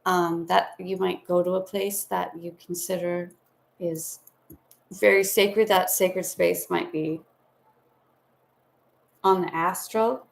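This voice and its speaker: A woman's voice: on the low side, steady tone, takes it slowly, gravelly, long pauses. A woman giving a live tarot reading from home, talking in a soothing, meandering, spiritual way with affectionate asides. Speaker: tarot reader.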